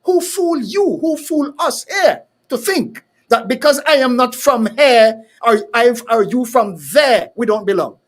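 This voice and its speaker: Man, talk show host, high voice, fiery, emphatic, rhetorical questions. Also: animated, a little slow, few pauses. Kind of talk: heated call-in style talk.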